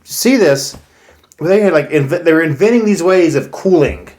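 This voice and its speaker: An American man co-hosting a casual chat podcast, explaining things in a halting, conversational way. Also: a little high, lively intonation, a little mellow. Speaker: podcast co-host.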